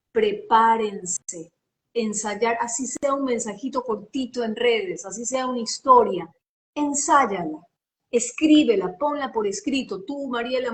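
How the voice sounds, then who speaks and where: A woman's voice: mid-range voice, slight background noise, rough recording. A woman who works as a voice-over artist, giving advice on a live video call in an emphatic, teacherly way, repeating phrases and speaking with a slight sing-song lilt.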